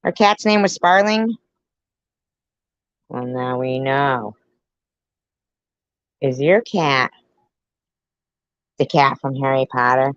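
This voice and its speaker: A woman's voice: a bass voice, takes it slowly, pauses often, clean tone, no echo, dull audio. A woman doing sarcastic reaction commentary on a YouTube video, with mocking asides.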